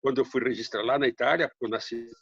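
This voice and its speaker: Older writer, native hungarian, slight hungarian-tinged accent, husky gravelly voice, muffled dull sound. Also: male voice, medium pitch, steady tone. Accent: slight Hungarian-tinged Brazilian Portuguese, heavy R. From Brazil, raised in São Paulo. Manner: unhurried, rambling, frequent tag questions. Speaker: guest writer. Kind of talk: casual interview storytelling.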